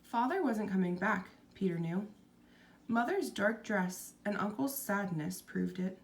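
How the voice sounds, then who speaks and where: Animated woman reading a storybook aloud. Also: mid-range voice, a little slow, creaky, a little hiss or hum. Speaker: storybook reader, narrator. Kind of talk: expressive scripted storybook narration.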